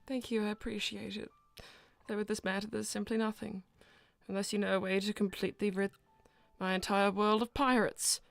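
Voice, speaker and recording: A woman playing a proud, formal young cat princess in improvised live roleplay, prim and quick to scold. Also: medium pitch, flat delivery, vocal fry, no echo, soft background music, natural voice. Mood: formal, grave.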